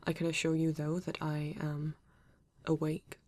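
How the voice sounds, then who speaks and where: A woman with a British accent playing a formal, reserved male android detective in an ASMR roleplay, speaking stiffly and deliberately with halting pauses. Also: low-pitched, monotone, darkish tone, dry recording. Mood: guarded, halting. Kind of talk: ASMR roleplay addressed to the listener.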